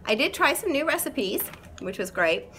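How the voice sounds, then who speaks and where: A woman in her thirties, hoarse from a cold, chatting casually and upbeat with lots of ums as she vlogs from home. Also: sits high, sing-song, moderate pace, sharp tone, a little hiss or hum. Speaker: vlogger. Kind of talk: casual chat. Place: at home, changed rooms.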